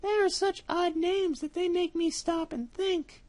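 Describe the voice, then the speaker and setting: A tiny, trusting storybook mouse with a squeaky, excitable voice. It sits very high above her own voice, loud and bright with eagerness. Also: a little slow. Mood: puzzled.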